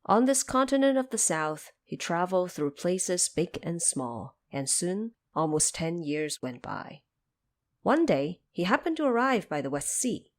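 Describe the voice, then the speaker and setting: Female narrator for an audio drama: fairly low register, very animated, dramatic storybook delivery. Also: measured pace.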